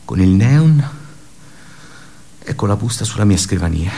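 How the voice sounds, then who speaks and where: An actor playing a wounded, despairing office worker in an intense, halting radio theatre monologue, with a creaky voice. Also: male voice, lowish voice, big pitch swings, heavily acted. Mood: uneasy, brooding. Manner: halting, intense, shifting from hushed murmurs to anguished outbursts. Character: wounded, despairing office worker recounting his suicide attempt.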